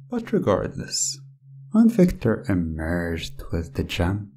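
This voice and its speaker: A man playing a smug, menacing gem owner in a hypnosis ASMR roleplay, speaking in a slow, theatrical, condescending way with a soft, breathy voice. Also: medium pitch, slight background noise. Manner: slow, deliberate, theatrical, condescending, with drawn-out words.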